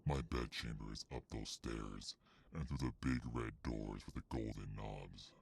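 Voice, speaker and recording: A man playing an anguished, bitter, centuries-old cursed beast in a dramatic ASMR roleplay, with a gravelly, husky growl and a slow, brooding, halting delivery. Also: a bass voice, steady tone, darkish tone, full character voice. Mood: resigned, stern.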